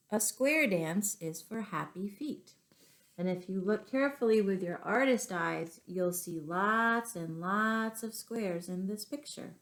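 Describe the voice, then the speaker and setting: A woman teacher reading poems and picture books aloud to young children, as an expressive, deliberate storyteller. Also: mid-range voice.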